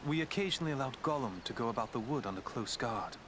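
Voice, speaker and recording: An actor playing a noble woodland elf prince in a fantasy game, speaking in a formal, measured, solemn manner. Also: male voice, mid-range voice, animated, lightly acted. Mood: regretful, grave. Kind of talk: fantasy video game character acting. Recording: clear background ambient noise.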